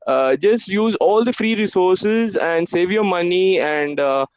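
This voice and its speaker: A young man in his twenties, a native Hindi and Urdu speaker, gives a motivational webinar to students and coaxes them along in a fairly high voice. He stops and restarts often with "uh" fillers. The sound is dull and lo-fi, like computer-mic audio on an online call. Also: smooth. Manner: halting, with many "uh" fillers, encouraging. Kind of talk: motivational career-advice talk.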